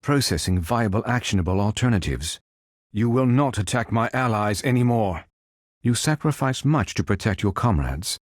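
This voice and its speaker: Deep, formal android superhero. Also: male voice, animated, moderate pace, no echo, studio quality. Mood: measured, analytical. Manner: formal, precise, stilted robotic phrasing. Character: calm, noble android superhero, adult male, formal and logical. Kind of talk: video game character voice lines.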